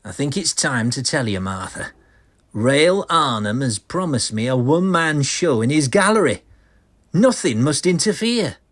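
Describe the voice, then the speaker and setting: Husky, slow, deluded artist played by a man. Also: medium pitch, sing-song, fairly bright tone. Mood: earnest, intense. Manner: melodramatic, resentful. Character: deluded, bitter, arrogant male artist going mad. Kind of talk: vintage horror comic audio drama acting.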